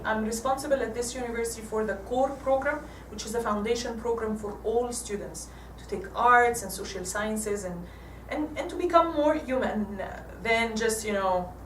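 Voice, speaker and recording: An Egyptian woman in her forties gives a church talk with slides, halting and restarting often. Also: mid-range voice, slight background noise. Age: 40s. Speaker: guest speaker. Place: church hall. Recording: echoey hall from far off.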